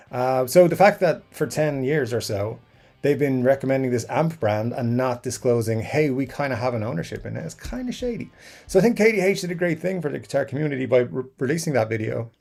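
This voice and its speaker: A middle-aged man hosts a casual live stream, reading comments aloud and musing at length. His voice sits at an ordinary pitch, and his talk wanders with fillers, restarts and asides. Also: vocal fry, a little mellow. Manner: rambling, chatty, frequent fillers and restarts. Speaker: YouTube guitar channel host.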